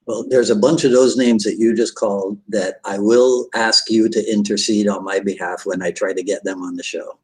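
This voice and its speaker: A man's voice: lowish voice, fairly even intonation, normal speed, few pauses, poor audio. A man hosting a martial arts podcast, talking in an upbeat, chatty way and joking with his listeners. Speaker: podcast host. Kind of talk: casual interview talk and sign-off.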